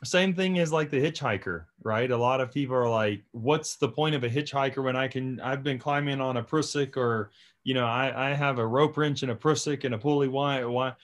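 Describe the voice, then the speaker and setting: A man's voice: mid-range voice, fairly quick. A man hosting a podcast in a casual, chatty style, talking emphatically and often checking in with "right?" and "you know". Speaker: podcast host.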